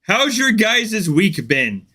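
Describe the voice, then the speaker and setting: A man's voice: high voice, takes it slowly. A male gaming streamer, still congested from the flu, chatting casually and unhurriedly with viewers on a live stream, with lots of fillers. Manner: relaxed, chatty, many fillers.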